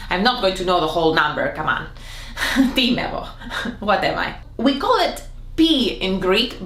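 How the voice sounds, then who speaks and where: A woman teaching a comedic language lesson to camera, playful and theatrical, her voice jumping up in pitch now and then. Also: fairly bright tone. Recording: echoey room.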